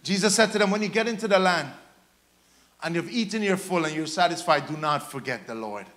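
High-pitched Guyanese man preaching. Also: lively intonation, fairly quick, fairly bright tone. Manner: emphatic, repetitive exhortation. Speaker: pastor preaching. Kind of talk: sermon. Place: church stage, indoors. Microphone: handheld microphone.

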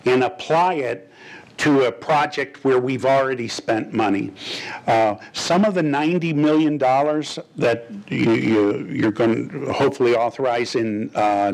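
A man's voice: mid-range voice, low-quality recording. An older man on a desk microphone in a boardroom, explaining a financial item to a board in a plain-spoken, gesturing way with frequent restarts. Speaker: finance official. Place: boardroom, indoors. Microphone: desk microphone on a stand.

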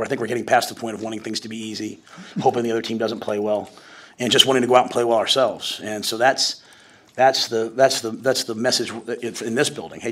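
A fast-talking man in his forties speaks at a podium during a postgame press conference, his voice sitting low. He rattles through his answers conversationally, restarting sentences and leaning on fillers as he goes. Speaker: head football coach. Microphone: podium mic.

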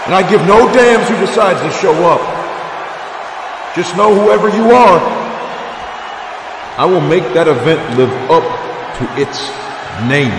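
A man voicing a gruff, bearded wrestler who went from drifter to champion, cutting a blunt, defiant promo full of swearing. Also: high-pitched, expressive, measured pace, long pauses, roomy sound, big-room sound, crowd noise, noisy background. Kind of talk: fan-voiced wrestling game promo.

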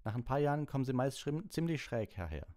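Guy doing older lady flying coach in an audiobook, raised pitch, admiring and chatty, lots of up and down. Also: very animated, quick pace, mellow, lightly acted. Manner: appreciative, rambling expert talk. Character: brisk older female flying coach, admiring broom enthusiast. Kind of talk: audiobook character voice.

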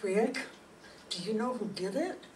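A woman's voice: mid-range voice, expressive, measured pace, a little mellow, natural voice. An older woman playing an admiring schoolteacher in an amateur radio play. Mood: gushing. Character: gushing, admiring female schoolteacher. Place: small hall stage, indoors. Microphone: stand microphone, recorded by far camera. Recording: echoey, noisy hall from distance.

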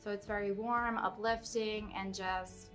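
A woman's voice: medium pitch, steady tone, measured pace, fairly bright tone, soft background music. Enthusiastic woman in her twenties. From Long Island, New York. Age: 20s. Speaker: design student.

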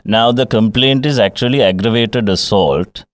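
A man playing an officious doctor on a radio prank call, calm, probing and deadpan. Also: on the low side, moderate pace, no room sound, natural voice. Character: officious doctor calmly investigating a complaint.

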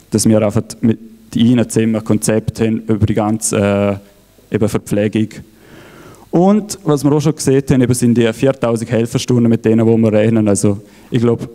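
Man speaking in a dark, flat tone. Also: fairly low pitch. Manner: halting, many fillers and restarts. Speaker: event organizer presenting. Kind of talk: stage presentation in dialect. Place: event hall, on stage.

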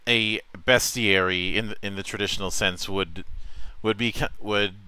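A man hosting an interview, asking measured, thoughtful questions and restarting his sentences. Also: fairly low pitch, fairly even intonation, bright, forceful tone, smooth, no echo. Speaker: podcast host. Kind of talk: interview chat.

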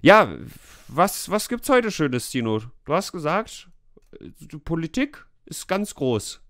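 A man's voice: on the high side, very animated, takes it slowly, a bit bright, no room sound, clean studio sound. A man co-hosting a podcast in casual banter, joking and teasing his partner and laughing often. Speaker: podcast co-host.

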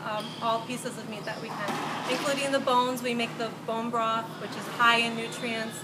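A woman's voice: a little high, flat delivery, normal speed. Friendly young woman explaining, noisy room. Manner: friendly, explanatory, some ums. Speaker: catering business owner. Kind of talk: casual interview. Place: bright indoor room with glass wall. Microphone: far camera. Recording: camera audio with loud background noise.

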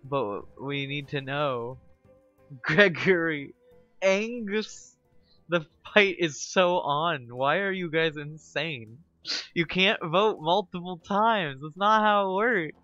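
A male game streamer in casual live chat with viewers, excitable and teasing, laughing often. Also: fairly high pitch, takes it slowly, no echo, dull audio, soft background music.

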